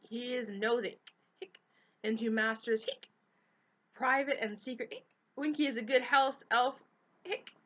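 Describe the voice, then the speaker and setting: A woman's voice: fairly high pitch, fairly even intonation, a little slow, long pauses, fairly bright tone, lo-fi sound, pitched above the performer's own voice. A woman reading aloud, voicing a drunk, tearful female house elf who hiccups through broken grammar. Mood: indignant drunk.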